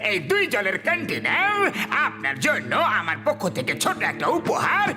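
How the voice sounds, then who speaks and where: A man's voice: very high-pitched, wildly swooping pitch, moderate pace, music in the background, full character voice. An actor playing a sly, boastful male swindler in a cartoon, with an oily, declamatory manner. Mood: fawning.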